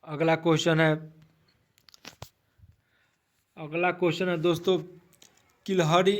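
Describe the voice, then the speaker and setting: A man teaching an exam-prep quiz, reading out questions haltingly and repeating the answers to his listeners. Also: on the high side. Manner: repetitive, asks then restates answers, addresses listeners as friends.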